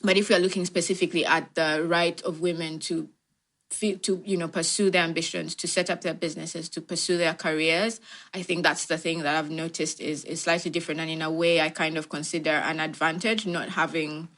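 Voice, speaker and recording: A woman from Uganda speaks with a Ugandan accent in a low register. She answers in a conversational, reflective way, explaining her thoughts step by step with frequent "you know". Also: flat delivery, a little fast, fairly bright tone. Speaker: tech organisation founder, interview guest.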